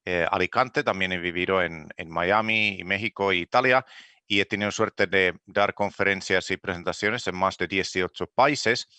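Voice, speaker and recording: A man from Finland gives a keynote talk in a language he learned later. His voice sits a little low but sounds bright, and he pauses on fillers and restarts phrases as he goes. The sound is close and dry. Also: no room sound. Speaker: keynote speaker. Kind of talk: conference presentation.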